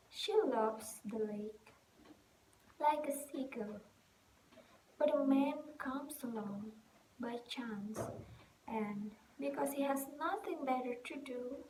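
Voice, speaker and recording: A woman playing a weary, distraught young stage actress in an emotional, halting theatrical monologue. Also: on the high side. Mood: hushed, wistful. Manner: halting, emotional, swinging from hushed to loud. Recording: echoey room with noticeable background hiss.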